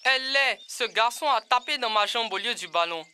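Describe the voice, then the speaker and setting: A woman's voice: a little high, expressive, moderate pace, heavy background noise, lightly acted. A woman voicing a sulky young boy footballer in a children's cartoon, childlike and plaintive.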